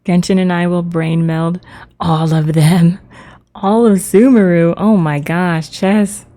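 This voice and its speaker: A woman's voice: fairly low pitch, steady tone, slow pace, mellow. A woman livestreamer chatting casually with viewers as she plays a video game. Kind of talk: casual livestream chat with viewers while playing.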